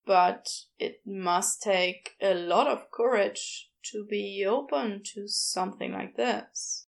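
Woman acting devoted male lover, lowered pitch, creaky, soft, sincere, halting, audiobook dialogue. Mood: reassuring. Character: low-voiced tender man, quietly devoted lover.

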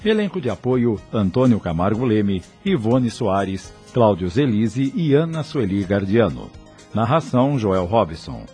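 Male Brazilian radio serial narrator-announcer: lowish voice, animated intonation, formal, theatrical, scripted. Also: a little slow, faint music behind.

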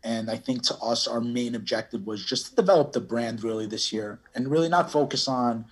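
A man giving casual interview answers, halting and often restarting his phrases. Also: mid-range voice, slightly rough. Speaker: cannabis brand owner, podcast guest.

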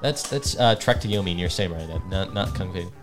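Casting: man with a lowish voice, enthusiastic, slangy, foul-mouthed podcast host in casual chat, light music behind. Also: lively intonation, fast. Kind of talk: casual chat among friends, game ranking. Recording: background music under the voice.

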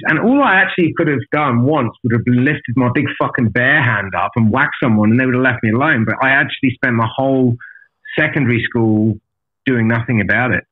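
A man's voice: mid-range voice, husky, phone-quality sound. A man telling his story as a podcast guest in a casual, candid, blunt way, with lots of swearing and matey address. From an island outside Australia.

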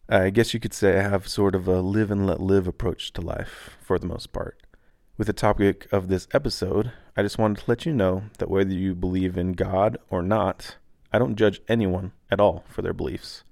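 A man who grew up in Taiwan speaks in a low, unhurried register, sharing a personal reflection on his podcast. His tone is calm and earnest, like a laid-back guy talking plainly. The recording is dry and close, with no room sound at all. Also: dry recording. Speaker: podcast host.

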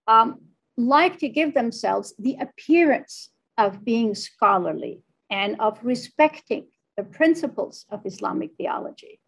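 A woman who grew up in Tunisia, a philosophy department chair, answering interview questions in a measured, thoughtful way with frequent ums. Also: medium pitch, poor audio.

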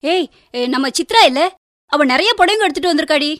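A woman's voice: sits high, lively intonation, brisk, fairly bright tone, dry recording, studio quality. A woman playing a chatty, gossipy office colleague in a radio drama, speaking in a familiar way.

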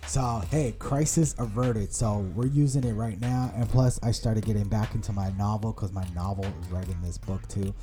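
American man in his 30s, podcast co-host, warm relaxed tone, chatty rambling stories, faint music under it. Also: fairly low pitch, normal speed, few pauses. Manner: rambling, animated storytelling with many restarts. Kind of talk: casual chat.

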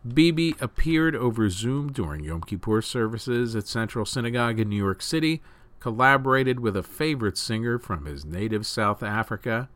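A man's voice: medium pitch, fairly bright tone, no echo. A man reading scripted news stories as the host of a news program.

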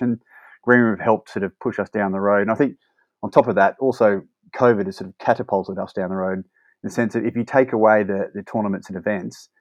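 A man who manages brand partnerships, answering interview questions quickly and fluently, often restarting his phrases. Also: lowish voice, no room sound, dull audio.

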